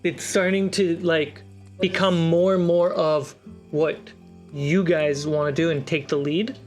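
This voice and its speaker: A game master running a tabletop game, calm and matter-of-fact as they check in with the players. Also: medium pitch, measured pace, soft background music.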